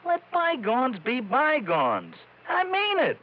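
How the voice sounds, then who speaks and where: An American actor playing a defensive small-time ex-convict in a 1950s radio drama, agitated and protesting in uneducated street talk. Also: male voice, very high voice, huge pitch swings, takes it slowly, exaggerated voice. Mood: pleading, rattled. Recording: muffled 1950s radio recording.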